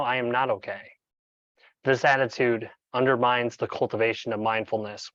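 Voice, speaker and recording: Male coach for guided meditation narration. Medium pitch, measured and instructional, pausing deliberately. Dry, slightly dull recording. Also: no room sound.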